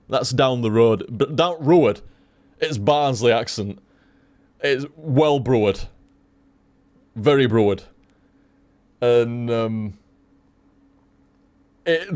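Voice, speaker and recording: A young man with a softened Yorkshire accent, rambling casually to his stream chat, swearing freely and laughing often. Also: mid-range voice, no echo. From Leeds, Yorkshire, England.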